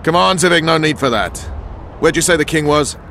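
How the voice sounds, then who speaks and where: An actor playing an easygoing soldier who talks persuasively to calm others, in a video game. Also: male voice, fairly high pitch, a little fast, a little hiss or hum. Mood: calm, placating. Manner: placating, persuasive. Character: easygoing soldier ally, calming hotheaded guards. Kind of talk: dramatic video game dialogue.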